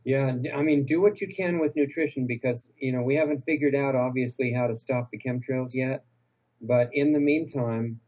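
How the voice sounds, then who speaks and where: A man's voice: mid-range voice, mellow, rough recording. A man speaking as a guest on a call-in radio show, earnest and encouraging, often restarting his sentences. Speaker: guest health researcher.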